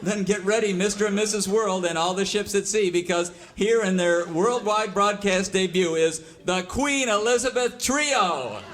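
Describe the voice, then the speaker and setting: High-voiced, grandiose radio showman played by a man. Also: moderate pace, hardly any pauses, lightly acted. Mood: boastful, eager. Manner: florid, folksy announcer patter. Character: grandiose, eager radio showman and talent booker. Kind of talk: live comedy radio show with Western theme. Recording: loud music and audience behind.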